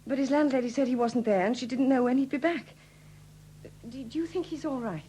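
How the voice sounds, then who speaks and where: An actress playing an anxious, apologetic woman who speaks haltingly in a 1970s radio drama. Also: sits high, mellow, slight background noise, natural voice. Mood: distressed confiding. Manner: hesitant, faltering, apologetic. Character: anxious, hesitant Welsh woman, troubled and polite.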